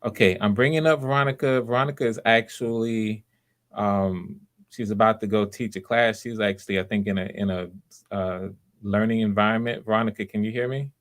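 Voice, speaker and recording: A man's voice: fairly low pitch, fairly even intonation, a little fast. A man hosting online auditions in a casual, encouraging way, often repeating himself. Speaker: audition host. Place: live video stream.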